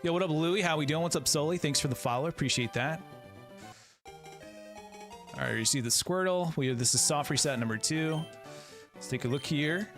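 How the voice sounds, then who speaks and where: A relaxed man in his thirties talks casually while playing on a live stream. His voice sits a little high, and he keeps up a chatty back-and-forth with his viewers over soft background music. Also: normal speed, long pauses. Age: 30s. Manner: laid-back, chatty, greets and answers chat viewers. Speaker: game streamer.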